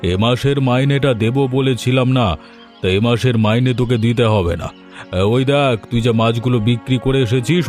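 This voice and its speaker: Stingy cartoon village boss, male actor: mid-pitched, commanding, patronising, music underneath. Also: lightly acted. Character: bossy, stingy male fish merchant employer. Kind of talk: cartoon voice acting in Bengali village story.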